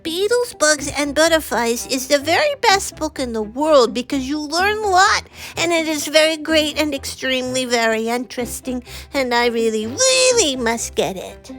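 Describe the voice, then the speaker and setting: An excitable little storybook girl put on by a woman reader pleads in a very high voice. Her pitch leaps wildly and she drags out her words. Soft music plays underneath. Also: normal speed. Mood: excited. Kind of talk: storybook read-aloud.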